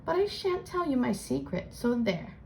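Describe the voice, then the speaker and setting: Woman voicing a small excitable high-pitched elf girl. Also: moderate pace, vocal fry, a little hiss or hum, exaggerated voice. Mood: teasing, defiant.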